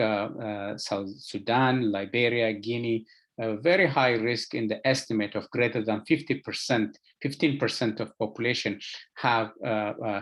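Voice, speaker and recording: A man with an Ethiopian accent gives a medical lecture as an expert, speaking haltingly with frequent filler sounds and repeated words. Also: mid-range voice, a bit bright. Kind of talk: lecture presentation to health professionals.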